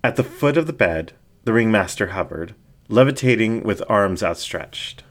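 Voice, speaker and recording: A man's voice: lowish voice, animated, moderate pace, fairly bright tone. A man reading a scripted story aloud as a dramatic narrator.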